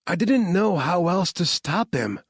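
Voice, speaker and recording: A man's voice: high-pitched, sing-song, measured pace, slightly rough, dry recording, voice pushed up, full character voice. A man voicing an injured, stubborn woman scientist who insists on going into danger, speaking urgently in a raised voice.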